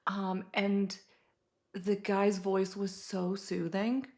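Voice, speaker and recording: Playful woman co-host with creaky voice. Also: mid-range voice, flat delivery, drawn-out words, darkish tone. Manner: informal, playful. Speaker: podcast co-host. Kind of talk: casual chat.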